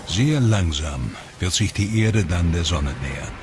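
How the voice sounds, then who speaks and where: A man with a somewhat low voice narrates a science documentary in a steady, explanatory way. A clear music track sits beneath his voice. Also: unhurried. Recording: music bed under voice.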